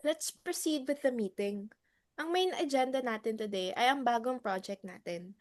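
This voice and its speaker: A high-pitched Filipino woman's voice as an actress plays her, that of a motherly club leader in an audio drama. She is brisk and civic-minded, with a teasing streak. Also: close to an everyday voice. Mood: earnest, organizing. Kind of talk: dramatic reading, Tagalog-English dialogue.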